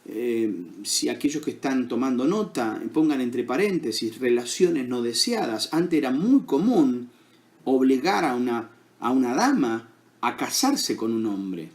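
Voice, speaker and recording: A man with an Argentine accent preaching a sermon as pastor, unhurried, with many fillers and questions to the congregation. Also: mid-range voice, very animated, a little mellow. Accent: Argentine Spanish.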